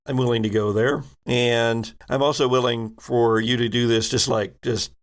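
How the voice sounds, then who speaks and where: A man acting as game master, narrating a tabletop roleplaying game in a halting, drawn-out way. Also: medium pitch, steady tone.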